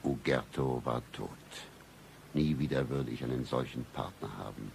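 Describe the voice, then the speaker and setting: An actor playing a cynical, deadpan hard-boiled private detective in a satirical noir radio play, with a husky voice. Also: male voice, normal speed, slight background noise. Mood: brooding, world-weary. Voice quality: very deep, husky, gravelly. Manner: deadpan, accusing, melodramatic.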